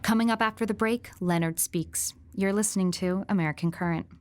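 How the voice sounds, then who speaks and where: An actress plays an earnest audio documentary reporter. Her voice is mid-range and composed, delivering narration and questions with measured, straight-faced seriousness. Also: medium pitch, music in the background, close to an everyday voice. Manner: measured, deadpan. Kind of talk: scripted narration and interview.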